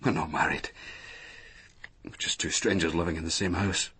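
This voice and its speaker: An actor playing a weary, lonely middle-aged businessman and ex-soldier in a radio drama, speaking haltingly and repeating himself. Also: sits low, steady tone, bright, forceful tone, husky, heavily acted. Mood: resigned, bitter. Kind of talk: naturalistic radio drama acting.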